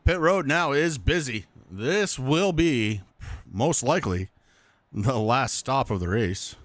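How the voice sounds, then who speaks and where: A man with a Vermont accent doing lap-by-lap commentary on a simulated stock car race, his voice jumping up sharply when a wreck unfolds. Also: slow pace. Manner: excited pitch jumps when calling wrecks. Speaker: play-by-play commentator. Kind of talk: sim racing commentary.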